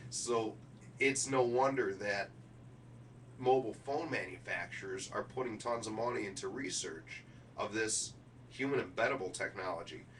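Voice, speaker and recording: A man's voice: fairly low pitch, a bit bright, recorded from a distance, a little hiss or hum. A middle-aged man running through a keynote speech draft, switching between presenter delivery and offhand asides. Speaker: keynote speaker rehearsing a speech.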